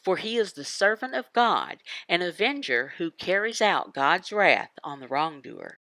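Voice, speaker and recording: A woman giving a devotional Bible teaching in emphatic, halting phrases, asking questions and answering them. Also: lowish voice, big pitch swings, sharp tone, dry recording. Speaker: voice interpreter for a signed devotional. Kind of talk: devotional Bible teaching, voiced from sign language.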